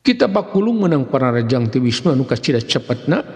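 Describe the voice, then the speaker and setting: A male storyteller with a Sundanese accent gives a dramatic scripted narration of a folk tale. His mid-pitched voice carries a long, hall-like reverb. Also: sing-song, fast, gravelly, a little mellow.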